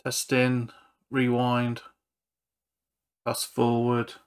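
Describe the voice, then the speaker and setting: A man's voice: medium pitch, monotone, drawn-out words, clear, resonant tone. A man narrating a hands-on repair walkthrough in an understated, matter-of-fact way. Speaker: repair channel presenter.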